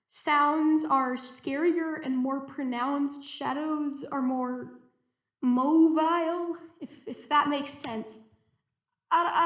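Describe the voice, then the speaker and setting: An echoey audio drama log performed by a woman from an isolated young female researcher. Her voice is high and anxious. She speaks slowly and haltingly, stammering as she feels her way through each thought in fear. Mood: uneasy, scared. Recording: echoey roomy recording.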